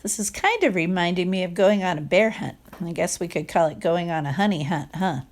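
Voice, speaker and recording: A woman reading a picture book aloud to children, expressive, with chatty asides and questions to listeners. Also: fairly low pitch, a little fast. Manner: expressive, acts out sound words, asks listeners questions. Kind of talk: animated read-aloud storytelling with chatty asides.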